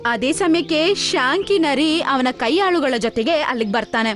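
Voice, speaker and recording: A woman's voice: high voice, animated, a little slow. A woman narrating a scripted animal cartoon story as its storyteller. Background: light background music.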